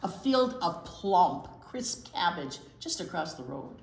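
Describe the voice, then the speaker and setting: Woman storytime reader for small kids. Unhurried, animated pitch, expressive, echoey room. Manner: expressive, asking the children questions. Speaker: children's storytime teacher and reader. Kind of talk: picture-book read-aloud for young children. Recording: roomy, echoing indoor sound.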